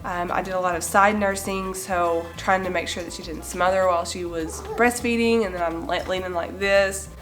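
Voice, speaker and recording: A young woman in her twenties with a cold, a little raspy and nasal, chatting to camera about her babies in a casual home vlog, gesturing as she talks. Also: on the low side, steady tone, moderate pace, hardly any pauses, over music. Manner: chatty, gestures with hands while explaining. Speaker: mom vlogger. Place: bright living room indoors.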